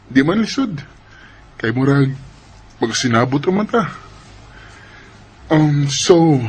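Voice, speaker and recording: A man's voice: mid-range voice, lightly acted. An actor as a young suitor in a radio drama, sweet and earnest. He speaks tenderly and coaxingly in a medium male register. A little background noise runs beneath his voice. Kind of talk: Filipino radio drama acting. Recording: faint background noise and ambience.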